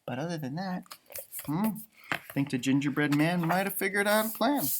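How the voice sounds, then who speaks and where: Male storytime teacher, highly animated with big pitch swings, reading picture books and chatting to young children. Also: moderate pace. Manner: animated, expressive, exclaiming and musing aloud. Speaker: storytime reader and elementary teacher. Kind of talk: children's picture book read-aloud with chatty asides.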